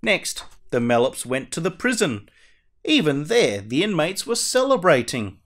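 A man acts out a masked superhero at storytime, reading a picture book to children. The voice sits slightly high and swings widely in pitch. The delivery is theatrical and deliberate, drawing out the ends of phrases. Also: big pitch swings, fairly bright tone. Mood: animated storytelling. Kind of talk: animated picture-book read-aloud.

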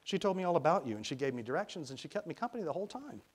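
A man's voice: fairly high pitch, very animated, very fast, husky, pitched above the performer's own voice. A man voicing an eager small boy in a storybook, earnest and confiding.

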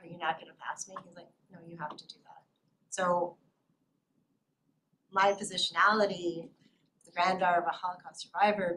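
A middle-aged woman giving an academic talk in a seminar room, reflective and quick to laugh softly. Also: on the low side, a little hiss or hum, poor audio. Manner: reflective, with frequent soft laughs. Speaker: lecturer.

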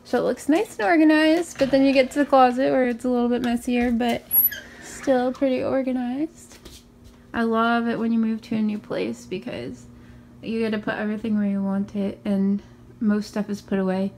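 A young woman with a raspy morning voice, chatting casually through a tour of her small bedroom, filmed on a handheld phone. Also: a little high, fairly even intonation, normal speed, a little mellow, slight background noise. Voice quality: raspy from just waking up. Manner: chatty, upbeat, many ums and likes. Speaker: vlogger. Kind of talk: casual room tour vlog. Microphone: handheld phone camera.

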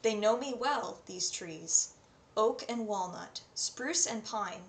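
A woman's voice: mid-range voice, takes it slowly. Young North American woman, roomy sound. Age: 20s. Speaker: online English tutor. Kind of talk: self-introduction to camera with poem readings. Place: small indoor room at home. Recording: roomy echo, faint background noise.

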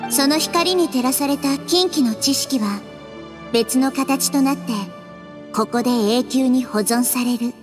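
Game role: childlike sage deity, high, calm, thoughtful delivery over clear background music. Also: female voice, unhurried. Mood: calm, explanatory. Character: small, childlike goddess, wise, gentle, composed. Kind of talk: video game character acting.

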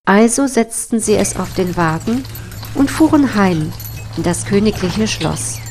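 A woman's voice: medium pitch, lively intonation, a little slow, a little mellow, soft background music. A woman reading a fairy tale as a scripted storybook narration.

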